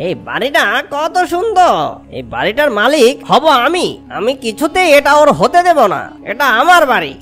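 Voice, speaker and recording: A greedy young fox brother from a children's cartoon, voiced by a woman. The voice is high and very animated, exclaiming dramatically with a scheming edge, over faint music. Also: normal speed. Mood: indignant, scheming.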